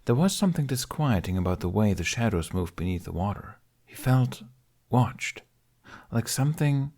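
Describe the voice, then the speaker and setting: Low-pitched man narrating a story. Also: expressive, moderate pace, darkish tone. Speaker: audiobook narrator.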